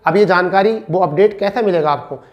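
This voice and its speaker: A man's voice: high-pitched, a little fast, dull audio. A man teaching an informal online class, addressing students in a reassuring, instructive way and repeating key points for emphasis. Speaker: teacher giving exam updates to students.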